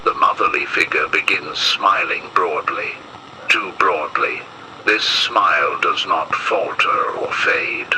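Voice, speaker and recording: A man playing a grave emergency broadcast announcer in an analog horror piece, solemn and measured, with an ominous delivery. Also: extremely low pitch, noisy background.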